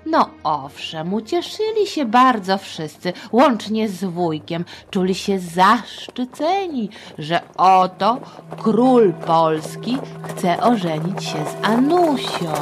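Expressive woman storyteller, slow and lively. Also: mid-range voice, soft background music. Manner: playful, expressive, chatty diminutives. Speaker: podcast host and storyteller. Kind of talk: children's history storytelling.

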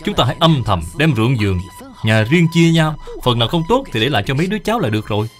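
A man acts an arrogant young master in a cartoon fable. He is selfish and scornful, with pitch that swings about in a lively way. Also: fast talker, soft background music, close to an everyday voice. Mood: scheming. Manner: haughty, scornful.

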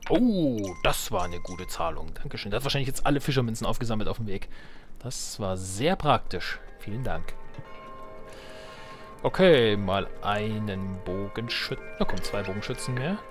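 A man's voice: fairly low pitch, expressive, normal speed, soft background music. A man giving casual gaming commentary as a let's play host, wry and joking as he thinks aloud.

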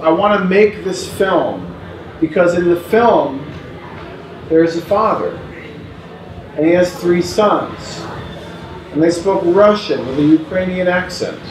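A man in his forties reads interview answers aloud in a measured, unhurried way, stopping to restart phrases, in a small indoor gallery room. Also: sits high, a little hiss or hum. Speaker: performer reading interview notes. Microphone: far camera mic. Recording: roomy distant sound with faint ambience.

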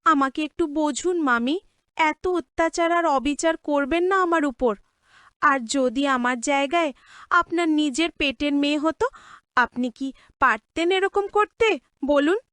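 Woman playing a very high-pitched, plaintive young housemaid. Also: moderate pace, a bit bright, no echo, clean studio sound. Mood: tearful pleading. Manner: plaintive, beseeching, respectful. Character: put-upon young orphan housemaid pleading with aunt. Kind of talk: cartoon drama voice acting.

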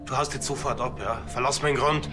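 A man's voice: medium pitch, steady tone, brisk, over music, lightly acted. An actor playing an aggressive, taunting man with a Bavarian accent in a TV drama. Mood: threatening. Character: aggressive, taunting male Bavarian farmyard brute.

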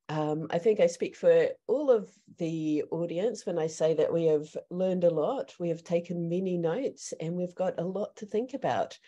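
A woman moderator closes out an online panel, speaking slowly in a low, mellow voice. She talks in a chatty, slightly scattered way over a headset from her bedroom. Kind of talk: casual panel wrap-up. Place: bedroom at home. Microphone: headset on video call.

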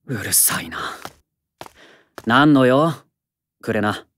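An actor playing an earnest, reserved schoolboy in an anime audio drama, speaking softly and hesitantly. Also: male voice, mid-range voice, lively intonation, slow. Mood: subdued, pleading.

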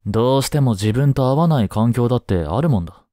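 A man playing a kind, easygoing schoolteacher in a voice drama, gently and casually encouraging a student. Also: medium pitch, lively intonation, no room sound, studio quality, close to an everyday voice. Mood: reassuring, encouraging. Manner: gentle, unhurried, casual, reassuring with long pauses. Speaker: voice drama performer. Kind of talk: Japanese voice drama, one-sided intimate talk to the listener.

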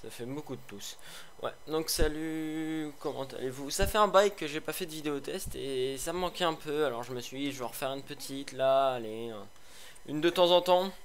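A man hosting a casual game review, slightly hoarse, rambling with fillers and restarts, tossing in sarcastic asides. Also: on the high side, normal speed, slight background noise. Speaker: gaming video host.

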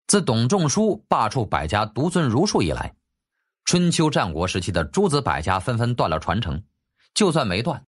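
A man narrating an audiobook in a dramatic, scripted storytelling style. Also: mid-range voice.

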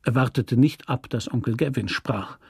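A man narrating a literary story from a script in a measured, sober manner. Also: medium pitch, vocal fry, a little mellow, husky, no echo, studio quality.

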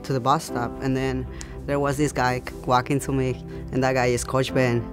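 A young man whose first language is Spanish tells his story plainly, with some hesitation. His voice is flat and level, with music behind. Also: mid-range voice, normal speed. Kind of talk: interview.

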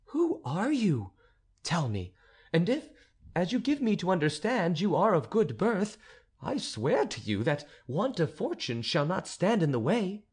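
A man's voice: high-pitched, wildly swooping pitch, unhurried, a little mellow, breathy edge, pitched above the performer's own voice, heavily acted. A man reading an audiobook, voicing a proud, stern noble countess in a haughty, imperious manner. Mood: commanding.

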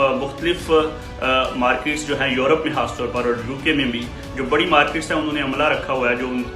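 A man in his forties speaks straight to camera in a small home room, his voice bright and forward. He gives steady, measured advice, stopping often to fill pauses. The room rings noticeably around him, with faint music underneath. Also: medium pitch, roomy sound. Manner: measured, with frequent pauses and fillers. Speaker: commentator.

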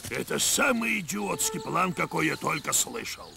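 An actor voicing a sardonic, grumbling pilot in a jungle adventure cartoon, with a scornful manner. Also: male voice, fairly high pitch, animated, moderate pace, creaky. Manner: sarcastic, scornful. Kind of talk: animated series voice acting in Russian.

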